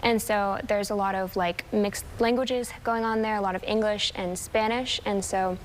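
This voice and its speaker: A young woman in her late teens answering casually and haltingly as an interview guest, modest and a little giggly. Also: mid-range voice, fairly even intonation, rough recording. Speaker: high school student guest. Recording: faint background noise.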